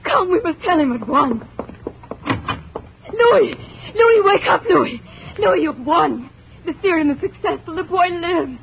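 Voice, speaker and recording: An actress playing a scientist's devoted, anxious wife, earnest and dramatic in a 1940s radio play. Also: female voice, very high voice, animated, a little slow, gravelly, heavily acted. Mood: elated, urgent. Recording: dull old radio recording, faint hiss.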